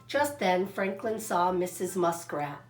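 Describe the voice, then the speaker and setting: A woman narrating a children's storybook like a teacher, expressive and deliberate, stretching out words. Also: lowish voice. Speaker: kindergarten teacher reading stories to children. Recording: echoey room, faint music behind.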